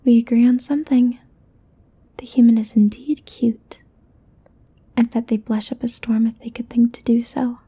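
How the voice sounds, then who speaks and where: Succubus demon played by a woman: seductive, possessive, teasing, husky breath, higher-pitched, ASMR roleplay intimacy. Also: little pitch movement, normal speed, long pauses, mellow. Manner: breathy, often whispered, teasing delivery.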